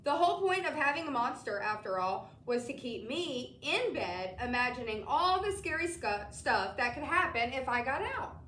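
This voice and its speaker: A woman reading a children's story aloud with expressive narration. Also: high voice, normal speed, hardly any pauses. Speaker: storybook reader. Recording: roomy, distant, slightly noisy.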